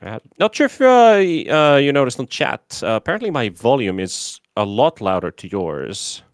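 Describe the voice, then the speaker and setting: A man with a Bulgarian accent doing live esports commentary, a bit nasal and slightly sore from a flu, relaxed and chatty with many fillers. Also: mid-range voice, moderate pace, no echo, studio quality. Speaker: esports caster.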